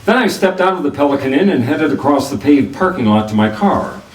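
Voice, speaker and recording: Man playing a wry radio detective, lively intonation. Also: mid-range voice, a little fast, lightly acted. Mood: wry, narrating. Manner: wry, clipped wisecracking. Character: wry hard-boiled male private detective narrating his case. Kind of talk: 1940s radio noir acting with scripted narration. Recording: roomy, distant microphone, music behind.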